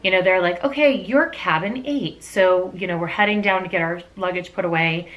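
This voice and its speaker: A middle-aged woman telling a personal story casually to camera, animated and gossipy, acting out the dialogue as she goes. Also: lowish voice, a little fast, highs cut off.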